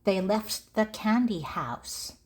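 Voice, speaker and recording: A woman reading a children's story aloud in short, deliberate phrases for language learners. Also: medium pitch, expressive, a little mellow. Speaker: storybook narrator.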